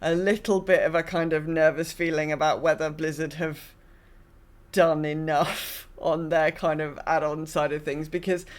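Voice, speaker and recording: A man's voice: a little high, level tone, moderate pace. A man talking casually as a guest on a gaming podcast.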